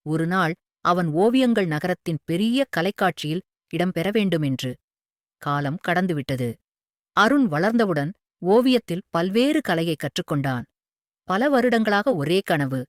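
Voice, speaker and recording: Woman, children's storyteller, scripted narration, animated intonation, earnest, dry studio-like sound. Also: fairly low pitch, no room sound.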